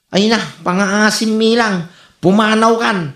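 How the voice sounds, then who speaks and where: A woman's voice: medium pitch, fairly even intonation, a little slow, fairly bright tone, natural voice. A woman acting in a comedy radio drama as an exasperated busybody who scolds. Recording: roomy, echoing sound.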